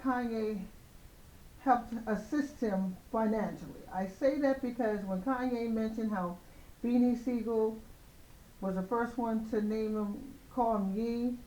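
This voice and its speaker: Female commentary host, soft-edged mellow tone with slight hoarseness, casual opinionated delivery, roomy echoing sound. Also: a little high, unhurried.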